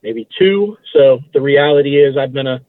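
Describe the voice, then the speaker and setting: A dull, narrow phone-like recording of a male podcast guest from South Florida. His voice is mid-pitched and dry, and he chats casually as he answers. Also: moderate pace, dry recording. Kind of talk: casual interview chat. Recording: dull, band-limited remote audio.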